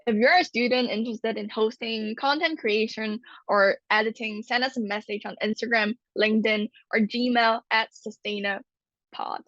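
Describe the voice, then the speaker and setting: Young woman from Hong Kong, fairly high voice, chatty, dry dull lo-fi recording. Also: steady tone, moderate pace, fairly bright tone, no echo. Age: young adult. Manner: chatty, many "like" fillers. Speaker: podcast co-host. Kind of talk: casual podcast chat.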